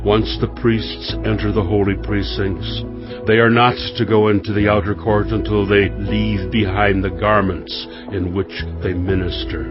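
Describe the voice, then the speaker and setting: A man playing a solemn heavenly guide who gives sacred instructions in a dramatized scripture reading. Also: deep voice. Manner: grave, measured instruction. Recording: muffled dull audio under prominent music.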